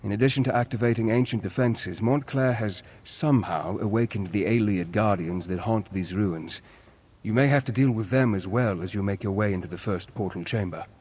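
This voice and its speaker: An actor playing a learned, cautious scholar of ancient ruins in a fantasy game, speaking in a measured, formal, earnest way. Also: male voice, fairly low pitch, darkish tone, slightly rough. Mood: grave warning. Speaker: quest-giving game character. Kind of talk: scripted fantasy game dialogue.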